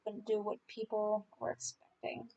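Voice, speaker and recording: A woman hosting a story podcast, reading folk tales aloud with informal asides and stumbling haltingly over unfamiliar words. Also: mid-range voice, completely flat delivery, highs cut off. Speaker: podcast host and story reader.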